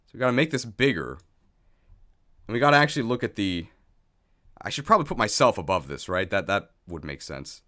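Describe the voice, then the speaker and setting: A man's voice: fairly low pitch, big pitch swings, brisk, pauses often, bright, forceful tone. A man hosting a livestream, chatting casually and thinking aloud in a jokey, self-deprecating way. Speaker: streamer, video host. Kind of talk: casual livestream chat while setting up.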